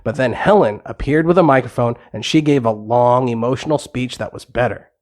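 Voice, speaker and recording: A man's voice: mid-range voice, fairly quick, a little mellow. Male narrator for a children's audio storybook, mid-range voice, scripted bedtime tale delivery.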